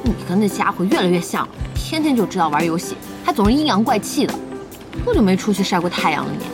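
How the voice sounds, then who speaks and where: A woman's voice: mid-range voice, sing-song, fast, over music. A woman playing a bossy, nosy but caring young boss in an audio drama. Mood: scolding, curious.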